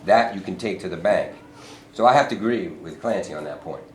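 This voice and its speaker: A man playing a cautious, pragmatic union president in a staged reading, with halting delivery. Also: deep voice, animated. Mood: measured, wary. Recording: echoey room, faint noise.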